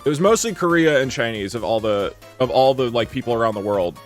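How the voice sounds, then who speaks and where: A man's voice: mid-range voice, a little fast, faint music behind. A man streaming from a green screen setup, chatting casually and rambling with lots of restarts while reacting to viewer comments. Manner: rambling, animated, frequent restarts and fillers. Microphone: desk mic at a green screen setup.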